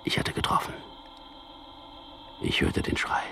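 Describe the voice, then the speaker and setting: An actor playing a tormented, confused captured resistance fighter in a radio drama, hushed, halting and intense. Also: male voice, noisy background, lightly acted. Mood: tense, hushed recollection. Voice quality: very low, breathy, gravelly whispery voice. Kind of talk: radio drama acting with first-person narration.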